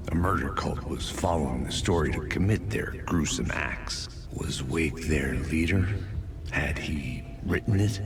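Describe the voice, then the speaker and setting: An actor playing a weary, brooding hardboiled detective in a game, speaking in a slow, grim deadpan with a gravelly voice. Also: male voice, exaggerated voice. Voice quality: very deep, gravelly. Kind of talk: noir monologue and game drama dialogue. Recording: roomy echo under loud music.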